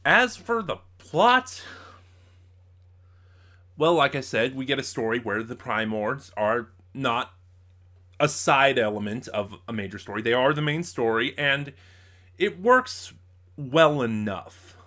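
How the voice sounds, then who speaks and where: A man with a bright, forceful voice at an ordinary male pitch reviews for his viewers in a casual style. He talks as a host thinking aloud, stopping, starting again and rewording his points as he goes. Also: lively intonation. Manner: halting, thinking aloud, often backtracking and rephrasing. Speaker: audio drama reviewer, channel host.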